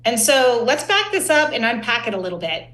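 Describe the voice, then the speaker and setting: Woman podcast cohost asking questions, bright lively voice, kinda roomy sound. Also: fairly high pitch, fairly quick. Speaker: podcast co-host. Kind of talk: conversational interview questions.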